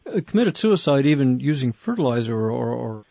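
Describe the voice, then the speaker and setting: A man's voice: mid-range voice, big pitch swings, brisk, vocal fry, mellow, no echo, highs cut off. A man hosting an interview, talking in a conversational, questioning way. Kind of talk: radio interview.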